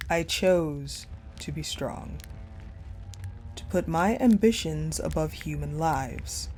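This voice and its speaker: Actress as cold, possessive female general for intimate audio drama: dark mellow tone, unhurried, deliberate, hushed delivery. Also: low-pitched, expressive, exaggerated voice. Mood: cold, resolute. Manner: slow, hushed, deliberate storytelling with pauses. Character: cold, possessive ice general confiding in her captive beloved. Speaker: voice actor in audio roleplay. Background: music.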